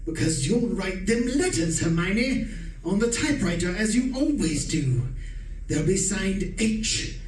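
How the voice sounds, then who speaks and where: A man's voice: high voice, a little slow, recorded from a distance, a little hiss or hum. A man playing a cold, taunting husband who plots to kill his wife in a radio drama. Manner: calm, menacing, taunting. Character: cold scheming husband planning murder. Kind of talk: amateur radio drama acting. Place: live stage performance. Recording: roomy stage recording from off mic.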